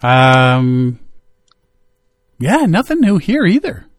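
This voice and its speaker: A man hosting a radio show, joking and teasing his co-host in casual banter, quick to laugh. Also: on the high side, huge pitch swings, no room sound, studio quality. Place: studio.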